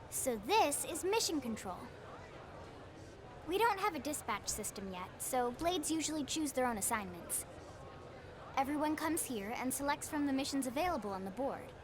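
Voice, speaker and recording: A woman's voice: high voice, animated, normal speed, a crowd in the background. An actress playing a perky, eager young woman guiding a tour in a video game, with a bubbly, playful, pushy manner. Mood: upbeat, helpful. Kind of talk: video game cutscene acting.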